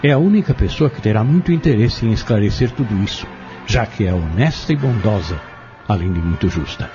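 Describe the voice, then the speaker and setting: A man acting a composed, persuasive, scheming trader in an audio drama, speaking formally and politely with measured phrasing. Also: fairly low pitch, expressive, natural voice. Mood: shrewd, persuasive. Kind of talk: dramatic radio-novela acting. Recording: muffled lo-fi audio drama recording. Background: prominent background music.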